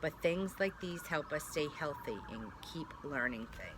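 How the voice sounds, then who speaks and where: A woman's voice: deep voice, animated, moderate pace, background noise. A woman pre-K teacher reading a picture book aloud to young children, speaking simply and directly to them with little check-in questions.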